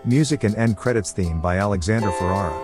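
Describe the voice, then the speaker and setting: A man with a deep voice reads scripted end credits as the announcer. Music plays behind him. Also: fast talker.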